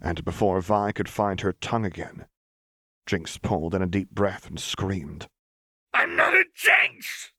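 A man's voice: sits low, a little mellow, dry recording, clean studio sound. A man reading a dramatic story aloud as scripted audiobook narration. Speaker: audiobook reader.

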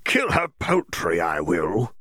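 A man's voice: sits low, huge pitch swings, slow, dry recording, studio quality, heavily acted. A man voicing a foul-mouthed, spiteful weasel-like magical creature in a storybook reading, cursing as it goes. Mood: muttering spite. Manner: muttering and shouting curses.

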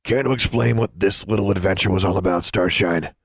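A man playing a kindly, folksy older groundskeeper who scolds gently. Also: deep voice, a little fast, slightly rough, no room sound, studio quality. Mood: gently scolding.

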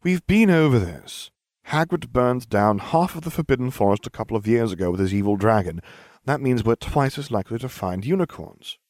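A man's voice: mid-range voice, lively intonation, brisk, darkish tone, no room sound, studio quality, pitched above the performer's own voice, close to an everyday voice. A man voicing a smug, theatrical, scheming schoolchild in an audiobook, glib and mock-grave. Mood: exasperated.

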